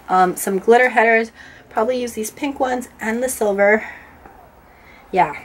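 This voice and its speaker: A woman talks casually and a little rambly, her voice stuffed up and nasal from a lingering cold. She fills her speech with ums as she goes. The recording carries a faint background hiss, picked up by a camera mic over a desk. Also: a little high. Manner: chatty, rambling, many ums. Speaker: planner vlogger. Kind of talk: casual plan-with-me narration. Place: indoors at a desk, overhead camera.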